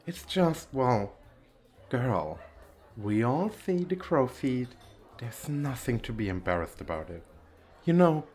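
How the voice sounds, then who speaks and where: A man's voice: medium pitch, darkish tone. Man playing a theatrical, swooping vain male model. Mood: mock-sincere, teasing. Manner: theatrical, teasing, self-absorbed. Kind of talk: ASMR roleplay.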